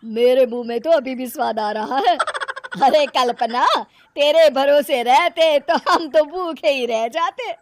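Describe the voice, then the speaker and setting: Woman playing a stern, high-voiced mother-in-law. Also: measured pace, background noise. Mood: taunting. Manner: scolding, commanding. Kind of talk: cartoon moral-story voice acting.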